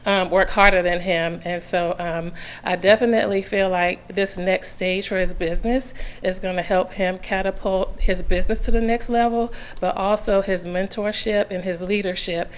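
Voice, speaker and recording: A hoarse woman giving earnest public comment at a county meeting, with many "um" fillers, at a podium microphone in a meeting room. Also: medium pitch, steady tone, normal speed, hardly any pauses, rough recording.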